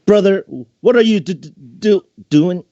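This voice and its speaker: A man's voice: high voice, moderate pace, dark tone, pitched above the performer's own voice, lightly acted. A man voicing a bashful, indignant ten-year-old catgirl little sister in a fanfiction reading. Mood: flustered. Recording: dull, roomy home microphone recording.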